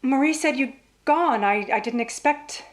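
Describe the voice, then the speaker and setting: Lady doing a scared wife in old radio play, highish voice, desperate, urgent, stammery. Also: a little slow. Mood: nervous. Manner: breathless urgency, hesitant stammering. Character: frightened, desperate wife fearing for her life. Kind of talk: old-time radio melodrama acting.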